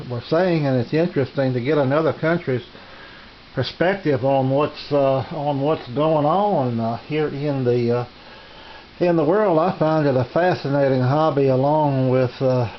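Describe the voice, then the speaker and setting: Brief: middle-aged male hobbyist, halting delivery full of fillers, dull lo-fi home recording with faint hiss. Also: mid-range voice. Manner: halting, many "uh" fillers and restarts. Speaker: ham radio hobbyist demonstrating receivers. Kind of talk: casual home video demonstration. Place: home radio shack. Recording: dull lo-fi camera audio with hiss.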